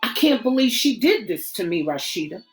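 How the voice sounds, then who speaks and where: A woman voicing a bitter, betrayed young man who speaks in a low, brooding, clipped way. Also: very animated, moderate pace, soft background music, close to an everyday voice.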